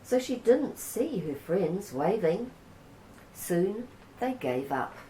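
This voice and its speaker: A woman with a New Zealand accent reading a children's picture book aloud in a gentle, unhurried way. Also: medium pitch, very animated, a little hiss or hum. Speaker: storybook reader. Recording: roomy echo.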